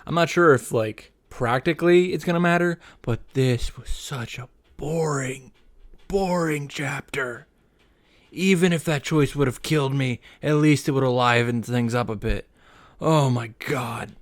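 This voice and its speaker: A man's voice: mid-range voice, unhurried. A man hosting a reaction series, giving an informal, wry recap with running commentary. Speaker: host of visual novel reaction series. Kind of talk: casual recap narration with commentary. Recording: music behind the voice.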